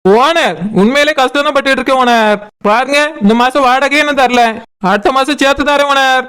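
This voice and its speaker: A performer plays a chatty cartoon idli seller with a high voice and a Kanyakumari Tamil accent. The patter is boastful and repetitive, turning deferential and pleading with customers. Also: fairly quick, a bit bright, clear, resonant tone. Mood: pleading, cheeky. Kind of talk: comic cartoon dialogue.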